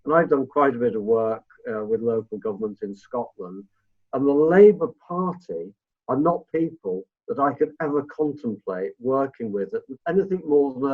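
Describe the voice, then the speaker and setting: A man's voice: mid-range voice, slightly rough. A long-winded, opinionated man co-hosting a political interview podcast in a conversational style. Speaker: podcast co-host. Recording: tinny narrow phone-like line.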